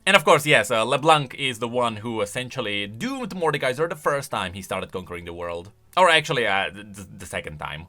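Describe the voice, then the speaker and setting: A man's voice: medium pitch, sing-song, a little fast, hardly any pauses, pushed and bright, faint music behind. A man giving casual, semi-improvised video commentary, thinking aloud with emphatic delivery. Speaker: YouTube lore commentator.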